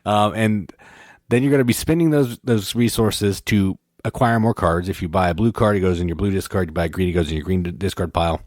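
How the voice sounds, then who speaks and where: A man's voice: deep voice, brisk, a little mellow, no echo, studio quality. A man co-hosting a podcast, explaining game rules in casual chat, rambling and correcting himself. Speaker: podcast co-host.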